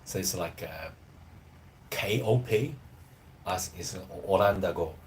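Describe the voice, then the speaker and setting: A man's voice: deep voice, slow pace, darkish tone, a little hiss or hum. A man in his thirties whose first language is Chinese, chatting casually on a live stream as a language teacher, rambling and hesitant. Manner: rambling, hesitant, loose phrasing.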